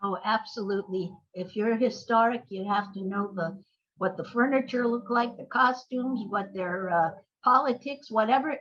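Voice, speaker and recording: A woman's voice: medium pitch, a bit bright. Older woman, dull band-limited recording. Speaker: guest, playwright and screenwriter. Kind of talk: conversational interview answers.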